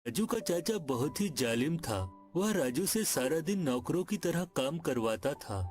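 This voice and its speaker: A man's voice: fairly high pitch, big pitch swings, unhurried, music in the background. A man narrating a scripted children's story.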